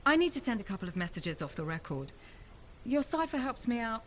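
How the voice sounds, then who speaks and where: An actress playing a wry, shrewd, tough bartender in a video game, with a blunt manner. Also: female voice, on the high side, sing-song, brisk, slight background noise. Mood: businesslike. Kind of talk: video game character dialogue.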